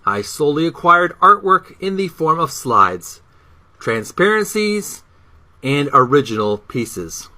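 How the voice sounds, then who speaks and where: A man reading a book introduction aloud as narration, with deliberate, emphatic phrasing. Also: fairly high pitch, big pitch swings, pushed and bright.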